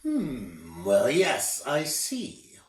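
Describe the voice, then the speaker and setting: A man voicing a fussy male family doctor in a children's story, speaking in a brisk, reassuring way. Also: medium pitch, wildly swooping pitch, exaggerated voice. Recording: roomy, distant mic.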